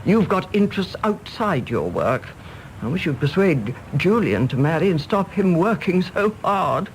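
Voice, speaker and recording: An actress playing a brisk, bossy, chatty elderly upper-class English mother in a period radio drama. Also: lowish voice, sing-song, a little hiss or hum, poor audio. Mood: fond, meddling. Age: older. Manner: fussy, commanding, chattering. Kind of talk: period stage-play radio drama acting.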